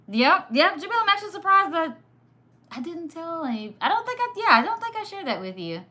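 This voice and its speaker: A woman from Sudan whose first language was Arabic, hosting a casual live stream, chatty and quick to laugh while reading comments. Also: extremely high pitch, bright, forceful tone. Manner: chatty, laughs often, teasing. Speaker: livestream host.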